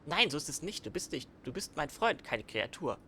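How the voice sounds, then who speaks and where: A man's voice: on the high side, very animated, fairly quick, sharp tone, a little hiss or hum. A man hosting a let's play, commenting casually and playfully off the cuff. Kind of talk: casual gameplay commentary in banter.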